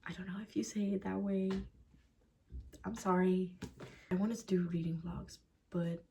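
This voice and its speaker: Young woman, Dutch accent, low voice, blunt chatty rant, swears, slips into whispers, faint background noise. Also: warm tone. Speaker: book vlogger. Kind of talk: casual book review rant.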